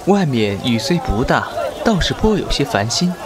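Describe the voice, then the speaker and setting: A man's voice: mid-range voice, big pitch swings, a little slow, rough recording. A man playing a polite young nobleman who gets flustered when teased, with music behind him. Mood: courteous. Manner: courteous, flustered when pressed. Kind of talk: Chinese audio drama acting. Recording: over background music.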